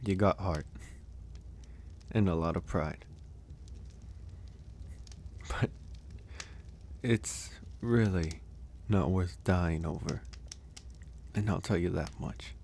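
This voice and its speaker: Gentle male werewolf acted by a man, ASMR roleplay. Very low voice, thoughtful and reassuring, noticeable background noise. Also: takes it slowly, gravelly, long pauses, heavily acted. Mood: sincere, reassuring. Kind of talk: intimate ASMR audio roleplay.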